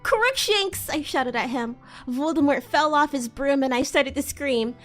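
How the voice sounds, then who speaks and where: A woman's voice: high voice, steady tone, moderate pace. A woman streamer giving a theatrical, amused live dramatic reading of fan fiction. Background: faint music.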